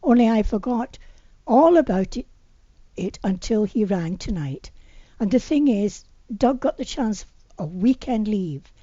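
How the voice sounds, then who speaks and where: A woman's voice: mid-range voice, lively intonation, a little slow, warm tone, no echo, lo-fi sound. A woman reading the part of a hesitant but pushy female friend asking a favour in an amateur audiobook. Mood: coaxing. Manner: hesitant, coaxing. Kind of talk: amateur audiobook character dialogue.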